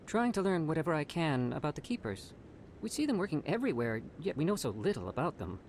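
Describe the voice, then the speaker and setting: An inquisitive alien researcher chatters about his studies in a video game. His voice runs a bit high and swings up and down as he talks. He sounds keen and a little fussy, with faint noise behind him. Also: big pitch swings, quick pace, darkish tone. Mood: eager. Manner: eager and inquisitive. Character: curious, fussy male alien scientist. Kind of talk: video game cutscene acting.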